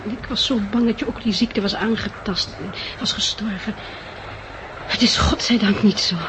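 An actress playing a caring, practical young wife in a 1960s Dutch radio drama about survivors. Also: female voice, medium pitch, fairly even intonation, normal speed, close to an everyday voice. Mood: tender, wry. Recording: dull, noisy 1960s radio recording.

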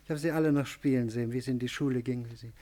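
A man's voice: mid-range voice, fairly even intonation, rapid-fire, mellow, lightly acted. An actor playing a timid, servile, frail man in his forties in a radio drama, hesitant and stammering, often repeating his words. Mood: eager, shy. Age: 40s. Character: timid, servile, frail man of forty-three, humble town fool. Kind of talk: German radio drama acting.

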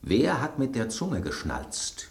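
An actor playing an inquisitive, brusque piece of bread in a German radio drama. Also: male voice, medium pitch, wildly swooping pitch, slow pace, gravelly, echoey room, full character voice. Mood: suspicious. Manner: inquisitive, brusque, rhetorical questioning. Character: bread on a restaurant table, gruff gossipy male detective type.

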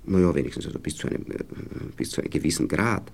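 A man's voice: sits low, animated, rapid-fire, creaky, darkish tone, full character voice. An actor playing a smug, moralizing husband in a radio play, with an Austrian accent, talking down to his young wife as he gropes for words. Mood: pompous, explaining. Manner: patronizing, hesitant, groping for words.

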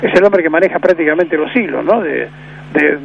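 A man's voice: on the high side, brisk, creaky. A man with an Argentine accent hosting a radio interview, rambling and restarting his sentences. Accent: Argentine Spanish. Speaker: radio host. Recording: dull lo-fi AM radio broadcast with faint noise.

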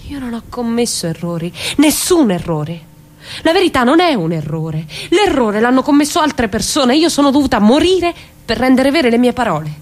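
A courageous mother who defies those who threaten her. Her delivery is intense and deliberate, with a bitter edge, in the manner of Italian stage drama on the radio. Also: a little high, very animated. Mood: bitter, defiant. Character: defiant mother and murdered mafia witness, courageous, bitter.

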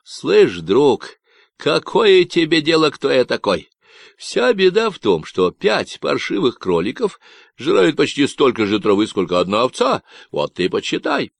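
A man's voice: mid-range voice, wildly swooping pitch, gravelly, no room sound, pitched above the performer's own voice, exaggerated voice. A man voicing an unhinged Texan man on a radio, who talks in a nasal drawl full of slang and mockery. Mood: mocking. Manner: slow, mocking slang talk.

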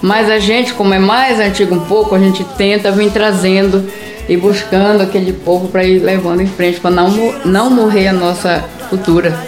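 A middle-aged woman with a northern Brazilian accent from Pará talks as a radio guest in a plain, unscripted way, circling back over her phrases. Her pitch is average for a woman. The sound is quite roomy, with music clearly behind her. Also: fairly even intonation, moderate pace. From Brazil, quilombola community near Santarém in Pará. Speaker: singer-songwriter, interview guest. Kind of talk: spontaneous personal interview talk. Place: audio studio, for a radio programme.

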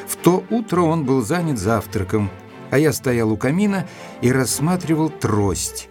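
An actor playing an earnest, admiring gentleman companion, narrating in a measured, literary audio drama style. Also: medium pitch, lightly acted. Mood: measured, descriptive.

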